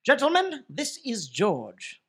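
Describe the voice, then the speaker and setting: An actor playing a flustered, earnest lab man in an improvised comedy radio play, speaking haltingly and coaxingly with a husky voice. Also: very high voice, wildly swooping pitch, a bit bright, heavily acted. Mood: formal, earnest. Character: flustered, soothing lab man presenting his mouse friend.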